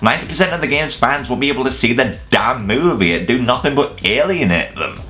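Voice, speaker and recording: A man's voice: mid-range voice, animated, brisk, sharp tone, soft background music. A man presenting an opinionated scripted video review in a blunt, wry, sweary way. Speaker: video reviewer presenting a top ten list.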